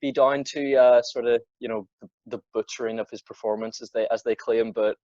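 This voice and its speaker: A man's voice: medium pitch, steady tone, a little fast, warm tone, dry recording, muffled recording. A teenage male podcast co-host chatting casually about films in a blunt, sweary way, often repeating words as he goes. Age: teens. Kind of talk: casual film discussion.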